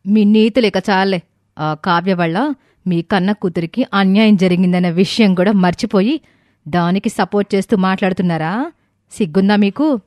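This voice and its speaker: A woman's voice: medium pitch, moderate pace, darkish tone, no echo, close to an everyday voice. A woman playing a jealous, nagging in-law in a moral story, with a scornful, sarcastic delivery. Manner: sneering, sarcastic. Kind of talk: dramatized moral story acting.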